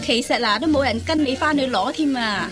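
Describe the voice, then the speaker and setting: A woman's voice: high-pitched, fairly quick, over music, close to an everyday voice. A woman playing a coquettish, money-minded young dance hostess in a radio comedy, coy and coaxing with a needling edge. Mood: cool, bargaining. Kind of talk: Cantonese radio comedy acting.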